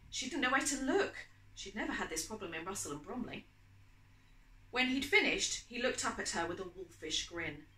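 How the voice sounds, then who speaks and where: A woman's voice: medium pitch, bright, forceful tone, echoey room, low-quality recording. A woman author reading romantic fiction aloud in a storytelling style. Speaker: author reading from own novel.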